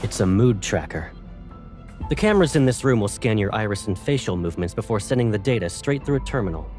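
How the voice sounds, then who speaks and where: A man plays a young space-fleet colonel with a very low voice. He is cold and controlled, giving orders with measured, quiet menace in a dramatic game style. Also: lively intonation, faint music behind, close to an everyday voice. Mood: coolly commanding. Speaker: voice actor in a game cutscene.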